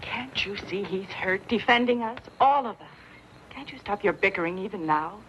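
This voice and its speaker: A woman's voice: medium pitch, moderate pace, fairly bright tone, a little hiss or hum, lightly acted. An actress playing a caring, spirited young frontier woman in a radio western, earnest and pleading. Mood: indignant. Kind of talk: old-time radio western acting. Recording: dull lo-fi radio drama, faint music.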